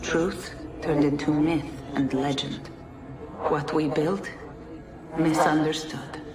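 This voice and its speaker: A woman voicing an ancient godlike being in a game cutscene, speaking in solemn, measured, formal oration. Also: low voice, steady tone. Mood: solemn, mournful. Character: ancient godlike female being of a dying precursor race, solemn. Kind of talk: dramatic game cutscene monologue. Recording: echoey, distant voice under loud music.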